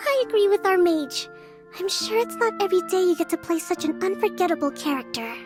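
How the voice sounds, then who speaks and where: Tiny, wide-eyed game girl, extremely high and lively, eager and polite, faint music behind. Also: fairly quick. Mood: cheerful. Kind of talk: animated game cutscene acting.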